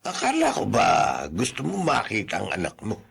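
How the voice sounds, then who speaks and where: Man acting concerned companion, melodrama, gravelly husky voice, lively intonation, coaxing. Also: medium pitch, normal speed, full character voice. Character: concerned male companion, gently questioning and advising.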